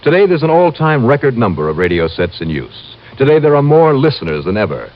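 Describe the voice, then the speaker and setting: An actor playing a cool, wry, tough insurance investigator, dry and unruffled, in vintage radio style. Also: male voice, medium pitch, sing-song, fairly quick, natural voice. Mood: smooth announcing. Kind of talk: vintage radio detective drama acting and narration. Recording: muffled, hissy old-time radio recording.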